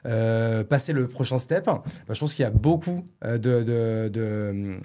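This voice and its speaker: A man's voice: mid-range voice, unhurried, mellow. A man hosting a podcast in casual, rambling talk, slangy and self-mocking, recorded in a studio set. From born in Paris, living in Bordeaux. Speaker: podcast host.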